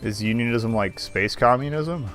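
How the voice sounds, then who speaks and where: Guy streamer reading visual novel text out loud, average pitch, wry sarcastic asides, bit of music behind. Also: moderate pace. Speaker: game streamer.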